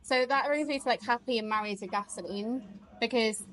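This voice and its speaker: A woman hosts a casual interview with a musician. She is chatty and upbeat and laughs easily. Her voice sits on the higher side. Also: brisk, a bit bright, low-quality recording.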